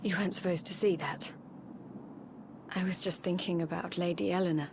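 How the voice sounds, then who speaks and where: An actress voicing a poised, earnest young princess in a video game cutscene, composed and well-spoken with a wistful streak. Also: female voice, on the low side, fairly even intonation, brisk, background noise, natural voice. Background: music.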